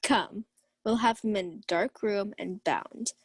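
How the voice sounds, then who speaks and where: A woman's voice: medium pitch, slow pace, husky. A young woman playing a scheming male knight, mock-solemn and theatrical, in a radio-style Shakespeare play. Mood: mock concern. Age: young adult. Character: scheming, mischievous knight uncle, feigning grave concern. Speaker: student actor.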